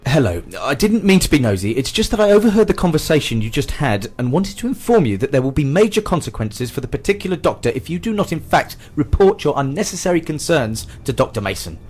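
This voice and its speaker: A man's voice: fairly high pitch, big pitch swings, fast, hardly any pauses, darkish tone, husky, soft background music. A man playing a troubled hospital doctor in a radio drama, polite but menacing, with stiff formal phrasing. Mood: cold, threatening.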